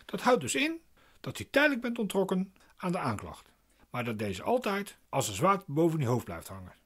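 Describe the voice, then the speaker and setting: A man's voice: on the high side, wildly swooping pitch, moderate pace, a bit bright, full character voice. An actor playing a glib, pedantic man explaining legal procedure in a radio play, insistent and didactic.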